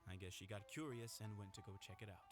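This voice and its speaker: An actor playing a polite, matter-of-fact festival official in a video game. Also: male voice, on the low side, fairly even intonation, fast talker, faint music behind, close to an everyday voice. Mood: apologetic.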